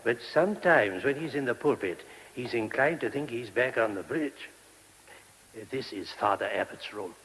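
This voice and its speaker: An actor playing a mild, obliging monk priest with a husky voice in a radio play. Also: male voice, medium pitch, animated, normal speed, a bit bright, slight background noise, low-quality recording. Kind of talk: British radio play acting. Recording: old radio drama recording.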